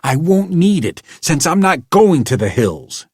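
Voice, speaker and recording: A man's voice: on the high side, big pitch swings, a little slow, a little mellow, husky, no echo, studio quality, pitched above the performer's own voice, full character voice. A man doing a growling proud young rebel. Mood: angry. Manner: growling, snarling. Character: angry young hill-born man, proud renegade.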